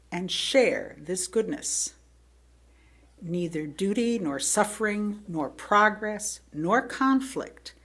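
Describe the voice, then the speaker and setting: A woman reading a sermon aloud to a congregation in measured, deliberate phrases with pauses between them. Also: medium pitch. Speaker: lay speaker reading another minister's sermon to a congregation. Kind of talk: sermon read aloud, with brief asides.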